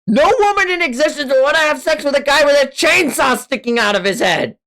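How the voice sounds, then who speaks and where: Man putting on a shrill, scornful teenage girl. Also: animated, fairly quick, pushed and bright, full character voice. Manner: shrill, indignant.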